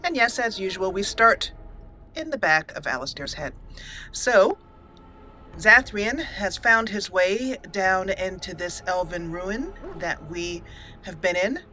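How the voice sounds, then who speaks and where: A woman's voice: medium pitch, lively intonation, slow pace, sharp tone, a little hiss or hum. A woman hosting a let's play, commenting casually and thinking aloud as she plays. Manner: chatty, thinking aloud with fillers and laughs.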